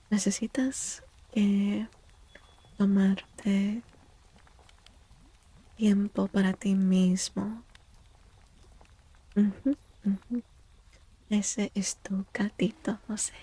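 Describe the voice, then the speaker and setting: Woman, soft-spoken ASMR streamer, slow pace, creaky fry, breathy near-whisper, playful, gentle. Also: mid-range voice. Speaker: live streamer reading tarot cards for chat. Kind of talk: soft-spoken ASMR chat, greeting viewers.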